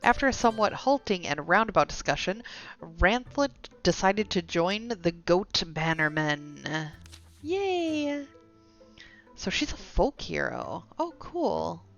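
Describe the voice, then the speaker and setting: A woman with a slightly low voice streams a game, with music playing behind her. She reads text aloud, stumbling now and then, and slips into chatty asides. The sound is a bit dull and lo-fi. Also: very animated, slow, creaky.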